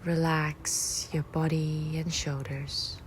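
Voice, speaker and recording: A low-voiced woman leads a guided meditation at a very slow pace. She speaks softly and breathily in short, gentle phrases, leaving long pauses. Faint nature ambience sits behind her voice. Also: little pitch movement, slight background noise.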